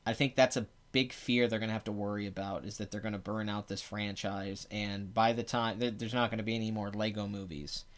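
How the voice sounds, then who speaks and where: A man with a raspy, phlegmy voice and a clogged throat, hosting a casual, rambling box office talk with blunt, sarcastic asides. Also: fairly low pitch, steady tone, a little fast, hardly any pauses. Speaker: box office show host. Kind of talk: casual live commentary.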